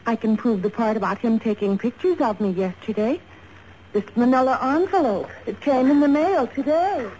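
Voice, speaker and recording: A woman's voice: a little high, lively intonation, moderate pace, mellow, natural voice. An American woman playing an anxious young fashion model under suspicion, earnest and defensive in 1950s radio drama style. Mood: defensive, pleading. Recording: dull, faintly noisy 1950s radio recording.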